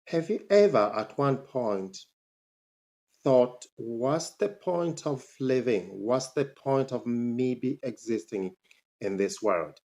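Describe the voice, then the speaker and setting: A man's voice: lively intonation, takes it slowly, darkish tone. A man, a motivational speaker telling his life story to camera, emphatic and rising sharply on his questions. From Zambia. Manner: emphatic, pitch jumps up on rhetorical questions.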